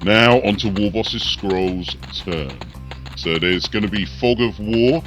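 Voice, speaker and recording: A man's voice: on the low side, takes it slowly, music in the background. A man giving tactical commentary on a video game as he plays, talking through each move and reacting to it. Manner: narrates tactics and reacts to the action. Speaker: gaming video host.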